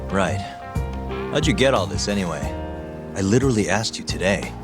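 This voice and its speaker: Casting: a blunt, laconic game detective with a low-set voice, understated, under prominent music. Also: male voice, lively intonation, normal speed. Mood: dry, blunt. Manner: laconic, dry. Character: cool, terse male investigator, skeptical and blunt. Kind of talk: English game dub dialogue, understated. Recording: loud music behind the voice.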